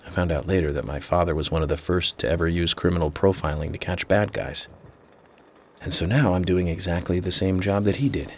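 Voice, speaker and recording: An American man playing an eccentric, introspective federal agent in a video game, with a measured, earnest, confiding manner. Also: sits low, steady tone, slight background noise. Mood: reflective. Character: eccentric, introspective male FBI agent with an imaginary friend. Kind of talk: video game cutscene dialogue.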